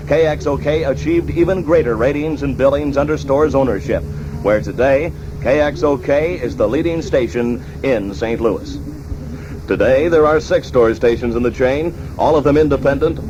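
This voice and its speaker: A man with a formal announcer delivery, reading scripted narration in the manner of a 1960s radio documentary. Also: medium pitch. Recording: dull, noisy archival 1960s radio recording.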